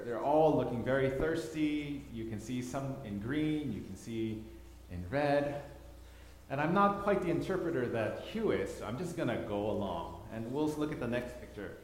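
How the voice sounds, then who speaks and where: A man's voice: medium pitch, darkish tone, a little hiss or hum. A man from Thailand giving a sermon with slides, telling the story with repeated words for emphasis. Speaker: preacher. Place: church hall. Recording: echoey hall, long reverb.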